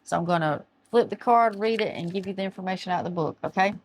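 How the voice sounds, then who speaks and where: A middle-aged woman, chatting through a tarot reading and reading aloud from a card book, her voice going hoarse as she often loses her words. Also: fairly low pitch, fast talker, slight background noise. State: losing her voice. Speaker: tarot reader. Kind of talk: casual tarot reading to camera. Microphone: camera mic, speaking to camera.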